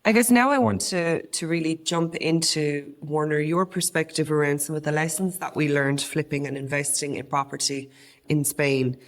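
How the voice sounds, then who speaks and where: A woman's voice: deep voice, steady tone, normal speed. An Irish woman co-hosting a podcast, talking in an earnest, conversational way. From Ireland. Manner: earnest, conversational, with frequent restarts. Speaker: podcast co-host. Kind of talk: casual podcast chat.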